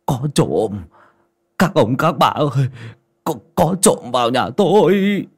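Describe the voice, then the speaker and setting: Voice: very deep voice, normal speed, slightly rough, voice pushed down. A narrator voicing a frail, cautious old village man with plain rural, sometimes stammering speech in an audio story. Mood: shaken.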